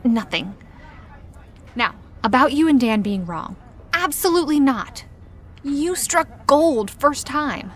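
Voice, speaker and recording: A woman's voice: high-pitched, expressive, slow pace, pauses often, heavily acted. A woman playing an upbeat, supportive best friend in an audio drama, with a reassuring manner.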